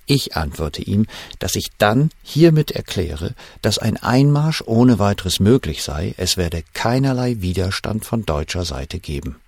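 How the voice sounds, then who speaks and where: An actor playing a shaken German official who recalls a wartime night in measured, suspenseful first-person audio drama narration. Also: male voice, lowish voice, big pitch swings, creaky, a little mellow, no echo, heavily acted. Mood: urgent, strained.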